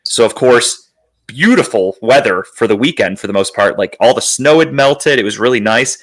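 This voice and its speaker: A man hosting a podcast in casual chat, telling rambling, profane stories in a slightly raspy, worn, phlegmy voice. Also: mid-range voice, expressive, normal speed, a bit bright, low-quality recording. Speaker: podcast host.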